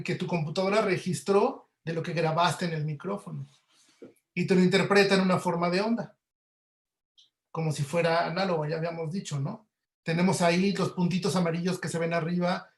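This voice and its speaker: A man's voice: fairly high pitch, reverberant. A man giving an informal webinar lecture as an audio producer, explaining conversationally to students and checking in with tag questions. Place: indoor room, online webinar.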